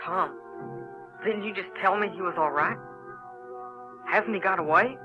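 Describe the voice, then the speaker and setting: A man playing a bold, excitable Southern boy in an audio drama, eager and insistent. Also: high-pitched, a little slow, highs cut off, over music. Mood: alarmed. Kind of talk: audio theater acting.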